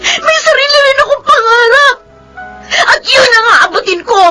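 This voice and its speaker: A woman's voice: very high-pitched, lively intonation, normal speed, bright, forceful tone, faint music behind, heavily acted. A woman playing a defiant, anguished young daughter in a Filipino radio drama, emotional and pleading. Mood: tearful defiance. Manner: emotional, pleading, tearful outbursts. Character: defiant, anguished young daughter pushed toward law school.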